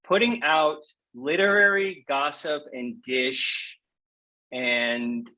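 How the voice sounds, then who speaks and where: A man's voice: on the high side, steady tone, slow pace, bright, forceful tone, rough recording. A man speaking frankly and informally as a guest in a casual interview, with a wry way of putting things. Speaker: guest poet.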